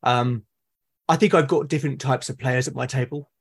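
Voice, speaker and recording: A British man chatting as a podcast guest, thoughtful and halting as he builds his points. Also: medium pitch, fairly even intonation, husky. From Britain. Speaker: podcast guest, game master and podcast creator. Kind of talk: casual interview conversation.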